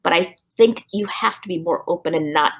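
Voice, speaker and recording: A woman from Los Angeles talks about her work as a chef in a relaxed interview. Her voice sits a little low, and she circles her thoughts with plenty of fillers and restarts. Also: brisk, slightly rough. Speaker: chef and restaurant owner, guest. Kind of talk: casual interview talk.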